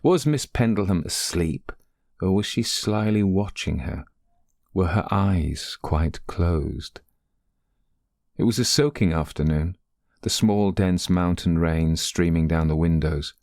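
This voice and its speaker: Deep, gravelly male ghost-story narrator. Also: darkish tone, dry recording, clean studio sound. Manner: deliberate, literary storytelling.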